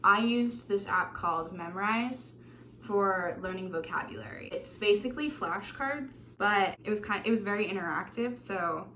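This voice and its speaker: A woman's voice: medium pitch, moderate pace, slight background noise. A young woman giving casual, self-mocking tips straight to camera in her bedroom. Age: young adult. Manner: chatty, animated, self-mocking, drops asides and laughs. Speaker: vlogger. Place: small bedroom, indoors.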